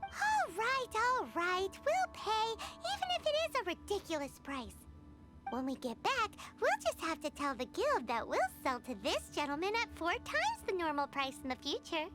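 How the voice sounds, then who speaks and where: A woman voicing a tiny, chirpy female fairy companion in a game, with high, excitable chatter over music. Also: moderate pace. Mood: bargaining. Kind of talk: game voice acting. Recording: game audio with music behind.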